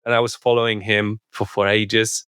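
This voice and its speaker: Polish-accented man, low smooth voice. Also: flat delivery, sharp tone, dry recording. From Poland. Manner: halting, many restarts and repeated words. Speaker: guest. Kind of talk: podcast interview.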